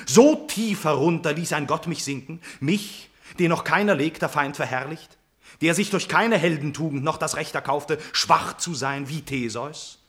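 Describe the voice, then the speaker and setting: An actor playing a proud young prince troubled by forbidden love, speaking solemn, impassioned verse in a classical radio tragedy. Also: male voice, a little high, a bit bright, lightly acted. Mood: anguished pride. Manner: declamatory, solemn, impassioned delivery.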